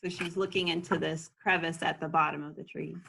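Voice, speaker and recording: A woman reading a children's book aloud at home in an unhurried way, explaining things in casual asides as she goes. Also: low-pitched, flat delivery, gravelly, a bit bright, breathy edge.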